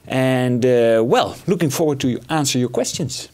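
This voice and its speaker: Man, programme manager, mid-pitched, measured, pausing with fillers. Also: expressive, a little mellow. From Netherlands. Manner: measured, hesitant with fillers, non-native phrasing. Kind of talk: panel answers, explanatory.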